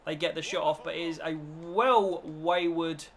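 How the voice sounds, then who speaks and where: A man's voice: on the high side, fairly even intonation, normal speed, a bit bright. A man hosting a gaming video with casual, chatty football commentary in informal British slang, slightly nasal from a cold.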